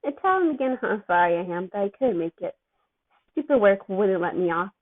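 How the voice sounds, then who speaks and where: A woman voicing a concerned, chatty aunt who is quick to question, in an amateur story reading. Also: medium pitch, lively intonation, natural voice. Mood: friendly concern. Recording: thin, phone-like narrow-band sound.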